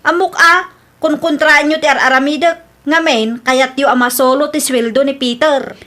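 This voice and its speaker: A woman with a Filipino Ilocano accent playing an excitable, stubborn expectant young mother in a radio comedy drama, insistent and complaining. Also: sits high, moderate pace. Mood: offended, complaining. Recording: background music under the voice.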